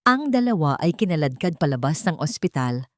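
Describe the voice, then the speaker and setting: A woman narrating a scripted audio drama in a steady, dramatic storytelling manner. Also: sits low, brisk, no room sound.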